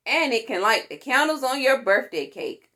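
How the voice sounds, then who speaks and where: A woman reading a children's picture book aloud in an expressive, deliberate way, with playful exclamations. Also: sits high. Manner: deliberate, animated, with playful sound words and exclamations. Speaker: storytime reader for a fire department.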